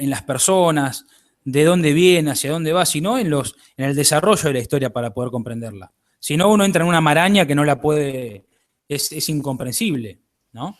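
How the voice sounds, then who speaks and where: A man with an Argentine accent, a guest author in an interview, explaining at length and checking in with his listener. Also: fairly high pitch. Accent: Argentine Spanish. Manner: explanatory, lecturing, checks in with "¿bien?".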